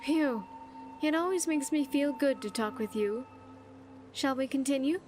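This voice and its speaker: A high-pitched young woman, voiced by an actress, plays an earnest game companion. She talks in a sincere, chatty way with slightly formal wording, over soft background music. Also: unhurried. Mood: relieved, pleased. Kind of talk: video game character dialogue.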